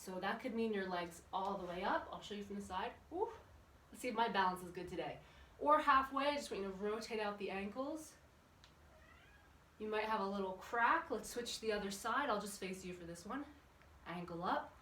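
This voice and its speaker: Woman leading a kids workout, chatty n encouraging, highish voice, echoey room, mic far off. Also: a bit bright. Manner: chatty, encouraging, asks the audience questions. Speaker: children's fitness class instructor. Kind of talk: instructional exercise class for kids, addressing viewers. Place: indoor room with windows open. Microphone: camera at a distance. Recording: echoey room, distant microphone, background noise.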